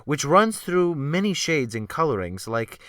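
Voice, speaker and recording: A man narrating a classic novel as a dramatic audiobook, with deliberate, expressive phrasing. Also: on the high side, dry recording, clean studio sound. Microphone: close USB desktop microphone.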